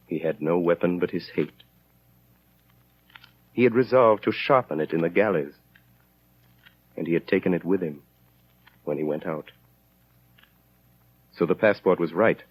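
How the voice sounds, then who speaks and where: A man's voice: deep voice, husky. A man narrating a 1930s radio drama in a grave, solemn, declamatory style. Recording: dull 1930s radio recording.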